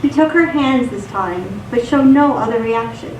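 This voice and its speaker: A woman reading scripted poetry and stories in a measured, recited delivery for radio theatre. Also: fairly high pitch, animated, darkish tone. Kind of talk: scripted poetry and story narration. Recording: distant echoey stage recording, noisy.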